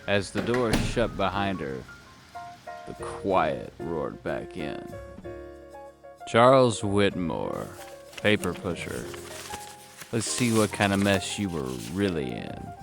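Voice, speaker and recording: A man playing a hard-boiled, world-weary private detective, narrating a noir audio drama in a terse deadpan with a gravelly voice. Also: low voice, slow, long pauses, over music, heavily acted. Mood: brooding.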